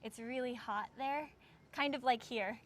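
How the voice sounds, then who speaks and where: A woman's voice: on the high side, unhurried. A young American woman explaining something casually, with an informal way of talking full of "like" and "sort of". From United States. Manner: informal, frequent 'like' and 'sort of'. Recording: clear background noise, ambient sound.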